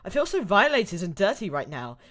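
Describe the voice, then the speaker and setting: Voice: mid-range voice, big pitch swings, brisk, fairly bright tone, pitched above the performer's own voice. A reader voicing a sarcastic, indignant young woman held captive, dramatic and exclamatory, in an amateur drama. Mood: indignant, exasperated.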